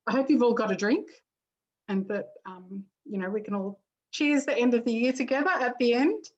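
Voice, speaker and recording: A woman's voice: fairly high pitch, moderate pace. A woman hosting an online education session, chatty and full of ums and restarts. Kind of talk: casual spoken introduction. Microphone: video call. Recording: muffled video-call audio.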